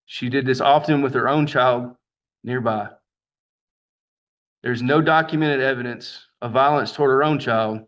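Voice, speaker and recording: A man speaking as a prosecutor, laying out facts formally and in a measured way in a courtroom, on dull lo-fi audio. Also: medium pitch.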